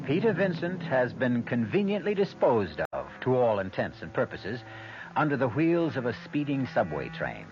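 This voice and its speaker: A male host narrates radio suspense in a dramatic, wry way, his mid-range voice moving widely in pitch. Music sits behind him on a dull recording. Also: big pitch swings, normal speed. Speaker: mystery show narrator and host. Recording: muffled old radio broadcast.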